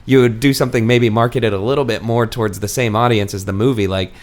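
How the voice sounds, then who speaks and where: A man's voice: medium pitch, fairly even intonation, fast. A man co-hosting a casual, comedic book discussion, rambling and often laughing through his sentences. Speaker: podcast co-host.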